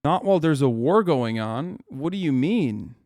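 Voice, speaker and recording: A man's voice: on the high side, lively intonation, normal speed, darkish tone, dry recording, studio quality. A man streaming live, reacting to a news video with heated, profane rants and emphatic repetition.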